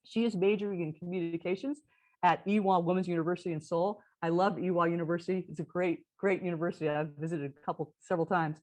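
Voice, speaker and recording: A woman's voice: on the low side, steady tone, fast talker, darkish tone. A woman moderating a panel event, introducing a speaker in a casual way, with small asides and restarts.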